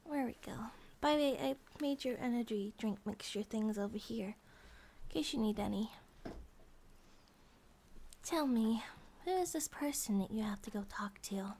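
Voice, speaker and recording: A woman's voice: fairly high pitch, unhurried, pauses often, a little mellow, a little hiss or hum. A woman voicing an affectionate, childlike and possessive grizzly bear monster-girl wife in an intimate ASMR roleplay, in a cutesy, rambling manner that sometimes drops toward a whisper. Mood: curious, casual, then jealous, affectionate.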